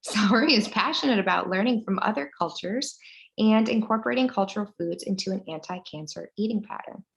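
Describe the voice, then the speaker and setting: Gravelly-voiced woman hosting a webinar. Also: medium pitch, brisk, poor audio. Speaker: webinar host. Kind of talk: scripted guest introduction.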